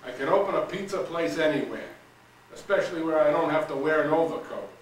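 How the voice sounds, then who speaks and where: A man voicing Frank, a gruff, fed-up father who grumbles, recorded from a distance in an echoey room. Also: a little high, fairly quick, a little hiss or hum, pitched above the performer's own voice, close to an everyday voice. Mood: grumbling. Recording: roomy room, distant mic, faint ambience.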